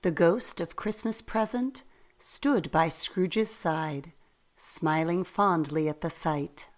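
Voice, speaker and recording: A woman narrating a radio play as a storyteller, reading in a measured way. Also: on the low side.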